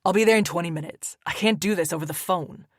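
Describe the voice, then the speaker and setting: A woman's voice: fairly low pitch, fast talker, no echo, studio quality, voice pushed down, lightly acted. A woman voicing a young man on the phone who is puzzled and defensive, snapping back. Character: confident young man, brushing off blame.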